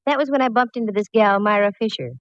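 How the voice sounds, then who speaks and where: A woman's voice: mid-range voice, fast, no echo, lightly acted. An actress playing a breezy, wisecracking female private eye in 1940s radio style. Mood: wry narration. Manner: wry, flip. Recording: muffled, faintly hissy old radio recording.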